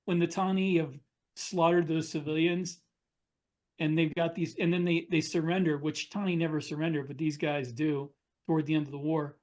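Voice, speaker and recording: Man, slightly high pitch, casual podcast chat, informal storytelling. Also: little pitch movement, moderate pace, a little mellow. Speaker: podcast co-host and military sci-fi author.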